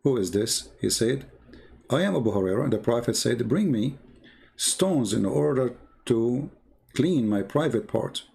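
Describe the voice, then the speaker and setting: A man who is not a native English speaker gives informal, mocking commentary as he retells and reads out stories, in a halting, repetitive way. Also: mid-range voice, expressive, creaky, a little mellow, low-quality recording. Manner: sardonic, mocking. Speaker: commentator presenting religious texts. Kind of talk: informal polemical commentary, retelling and reading aloud.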